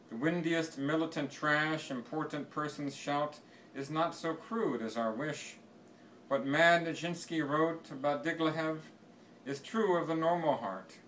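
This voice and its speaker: A man reciting poetry in a measured, declamatory way with deliberate phrasing. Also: a little high, fairly bright tone. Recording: distant mic with faint background noise.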